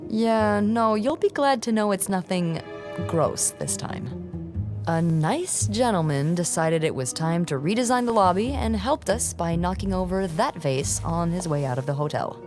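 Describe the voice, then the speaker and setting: A woman's voice: on the low side, lively intonation, unhurried, music in the background. A woman playing a teasing, sardonic young hotel receptionist in a video game cutscene. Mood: wry. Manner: playful sarcasm.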